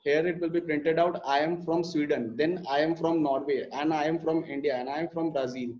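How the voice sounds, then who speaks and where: A man's voice: a little high, fairly even intonation, fairly quick. A young man teaching a live programming lesson, explaining step by step with frequent fillers and restarts. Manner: hesitant, many fillers and restarts while explaining code. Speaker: programming instructor. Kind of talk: live online coding lesson. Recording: muffled, lo-fi live stream audio.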